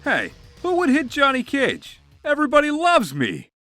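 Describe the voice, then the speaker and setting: A man's voice: very high-pitched, huge pitch swings, moderate pace, pushed and bright, faint music behind, pitched above the performer's own voice, heavily acted. A man voicing a cocky, flippant martial arts movie star in a comic dub. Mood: breezy, cocky. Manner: flippant, self-assured.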